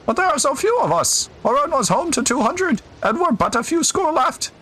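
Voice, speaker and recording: A man's voice: very high-pitched, very animated, moderate pace, bright, forceful tone, voice pushed up, full character voice. A man voicing desperate, ragged refugees pleading in a fantasy game.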